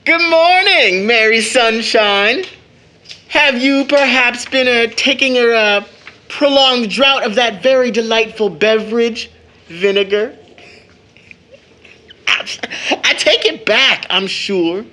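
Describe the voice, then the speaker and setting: High-voiced, bantering young brother. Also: male voice, slow pace, pushed and bright, heavily acted. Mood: playful, mock-formal. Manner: playful, florid mock formality. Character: bantering, cheerful older brother, mock-grandiose young man. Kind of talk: audio drama acting.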